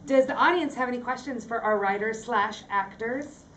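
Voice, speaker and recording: A woman's voice: on the high side, moderate pace, fairly bright tone, roomy sound, a little hiss or hum. A woman drama camp teacher leading a talkback with her students, quizzing them and laughing often. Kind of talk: post-show talkback with students. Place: school hall with audience.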